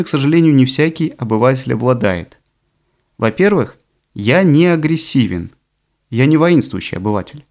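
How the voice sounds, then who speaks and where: A man with a medium-pitched voice reads as an audiobook narrator. His delivery is scripted and deliberate. He sets out each phrase in a measured way, as prose read from a book. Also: a little mellow.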